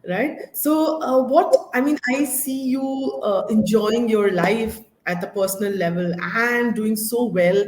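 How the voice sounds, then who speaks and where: A woman's voice: on the high side, unhurried, hardly any pauses, slight background noise. A woman with an Indian accent hosting a conversational live interview. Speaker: interview host. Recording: roomy echo.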